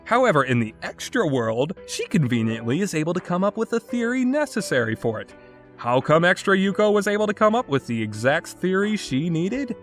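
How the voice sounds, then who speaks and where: Animated, sarcastic man reviewing with exasperation. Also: sits high, a little fast, soft background music. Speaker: video game reviewer. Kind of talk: opinionated review commentary.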